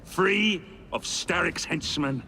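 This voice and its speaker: An actor playing a flamboyant, menacing Victorian gang boss in a video game, a gravelly voice and a grandiose, drawling delivery. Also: male voice, a little high, expressive, slow, bright, forceful tone, a little hiss or hum, heavily acted. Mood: gleeful, flamboyant. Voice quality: gravelly, creaky. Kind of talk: video game cutscene acting.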